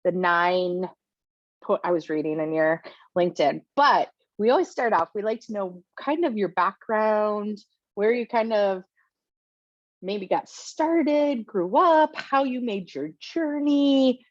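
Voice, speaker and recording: A woman's voice: medium pitch, animated, slow, no room sound. Podcast host: woman, chatty, rambling questions. Dry, muffled call sound. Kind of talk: casual interview chat.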